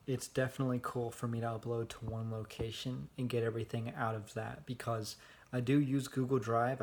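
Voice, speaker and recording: A young man records a casual vlog on his phone held at arm's length. His voice sits at an ordinary pitch but stays flat and monotone, with little rise or fall. He rambles along with plenty of fillers and restarts. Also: a little hiss or hum. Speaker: vlogger.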